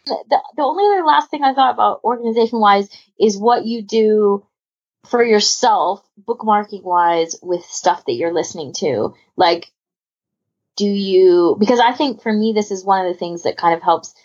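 A woman's voice: mid-range voice, moderate pace, a little mellow, dull audio. A woman hosting a podcast in casual chat, talking in a rambling, enthusiastic, informal way. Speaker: podcast host. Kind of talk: casual chat about hobby organisation.

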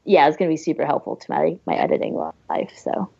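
A woman's voice: low-pitched, wildly swooping pitch, fast talker, vocal fry, warm tone, breathy edge, no room sound. A woman co-hosting a podcast in casual chat, on a dry, slightly dull recording. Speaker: podcast co-host.

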